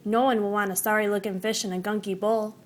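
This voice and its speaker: A woman narrating a children's picture book in an expressive, animated storytelling manner. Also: mid-range voice, fast, a little hiss or hum. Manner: animated, acts out dialogue and sound words. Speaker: storybook reader.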